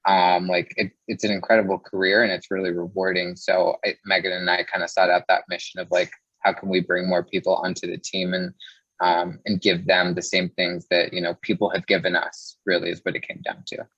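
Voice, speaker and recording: A man's voice: sits low, monotone, brisk, a bit bright, dry recording, lo-fi sound. A man answering casually as an interview guest and company co-founder, reflective and informal in his phrasing.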